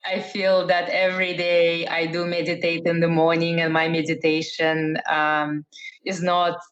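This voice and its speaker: A middle-aged woman, raised in the Netherlands, speaks in a fairly low voice. She answers interview questions thoughtfully and restarts her sentences often. The recording sounds dull and lo-fi. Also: flat delivery, a little slow. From Russia, raised in the Netherlands. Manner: thoughtful, many fillers and restarts. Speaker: interview guest, entrepreneur.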